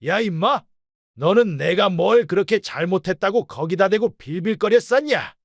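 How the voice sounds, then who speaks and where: A man's voice: sits high, lively intonation, moderate pace, gravelly, no echo, clean studio sound, exaggerated voice. A man playing a brazen, blustering old father-in-law in a radio drama, scolding coarsely and blaming everyone else. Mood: indignant ranting. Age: older. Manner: scolding, coarse, self-pitying. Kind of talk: Korean radio drama acting.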